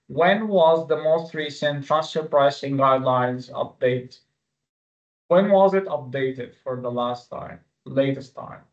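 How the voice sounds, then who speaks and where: A man's voice: mid-range voice, takes it slowly. Dull-sounding male instructor, native Arabic speaker. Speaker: exam-prep instructor. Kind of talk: webinar teaching with live quiz. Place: online webinar. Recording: dull, lo-fi webinar audio.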